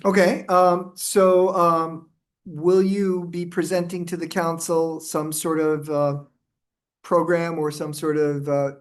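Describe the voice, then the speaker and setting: A woman's voice: on the low side, level tone. Woman chairing a council meeting. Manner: brisk, procedural, with fillers. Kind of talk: formal meeting procedure.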